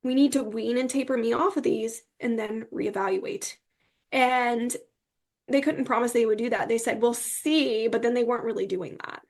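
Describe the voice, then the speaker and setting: A woman's voice: a little high, normal speed, darkish tone. A young woman earnestly and emphatically telling her personal story as an interview guest.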